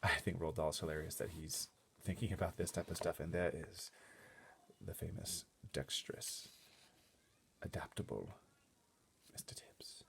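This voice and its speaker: A man with a very low, gravelly voice reads a children's story aloud. He is expressive and often drops toward a whisper, stepping out now and then for casual explanations. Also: unhurried, pauses often. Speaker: storybook reader. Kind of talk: children's book readaloud with casual explanatory asides.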